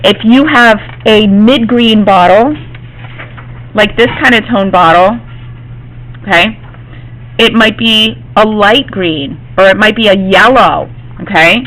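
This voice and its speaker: A woman art teacher, slightly hoarse from losing her voice, gives a classroom demonstration and often checks in with her students. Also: medium pitch, takes it slowly, fairly bright tone, poor audio. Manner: reassuring, checks in with "okay?".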